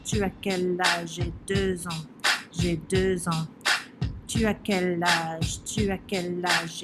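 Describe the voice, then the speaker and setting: A woman singing a simple children's song, a call and answer with every line repeated. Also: on the low side.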